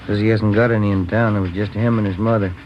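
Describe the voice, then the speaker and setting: Man voicing a brisk detective partner, low-ish voice, quick, hissy lo-fi radio. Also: fairly even intonation, lightly acted. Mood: matter-of-fact. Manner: brisk, practical questions. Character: steady male police partner, plain-spoken. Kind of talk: 1950s radio drama acting. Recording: dull lo-fi old radio broadcast with hiss.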